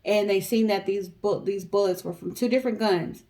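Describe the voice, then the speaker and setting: A woman's voice: mid-range voice, normal speed, warm tone. An American woman co-hosting a storytelling show, talking casually and colloquially as she recounts true crime and speaks directly to viewers. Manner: conversational, colloquial, addresses audience directly.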